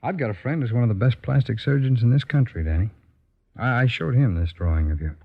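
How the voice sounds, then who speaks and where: A man acting in an old-time radio drama as a patient, fatherly lawman, gentle and persuasive. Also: mid-range voice, lively intonation, fast, creaky, breathy edge. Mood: gentle persuasion. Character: patient fatherly lawman counselling a troubled youth. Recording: muffled old radio recording.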